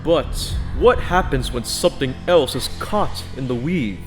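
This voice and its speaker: Man narrating with lively, swooping pitch. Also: unhurried. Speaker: audiobook narrator and channel host. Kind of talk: scripted narration. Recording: music behind the voice. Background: instrumental music.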